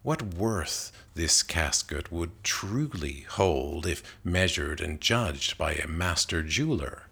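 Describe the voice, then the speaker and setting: A man's voice: low-pitched, expressive, takes it slowly, vocal fry, hardly any pauses, pushed and bright, husky, full character voice. A man reading the part of a noble, serene maiden in a narrative poem, formal, unsentimental and admonishing. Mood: stern, consoling.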